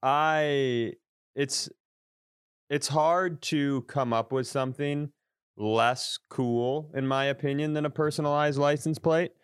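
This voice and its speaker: A man's voice: on the high side, fairly even intonation, takes it slowly, clear, resonant tone, no echo, clean studio sound. A man co-hosting a podcast in casual comedic chat, telling exaggerated stories with plenty of swearing. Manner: wry, exaggerated storytelling with profanity. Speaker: podcast co-host.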